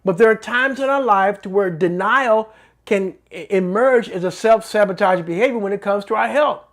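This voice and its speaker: A man's voice: sits high, normal speed, a bit bright. A man hosting a faith talk show, teaching in a sermon-like way with emphatic repetition and earnest personal testimony.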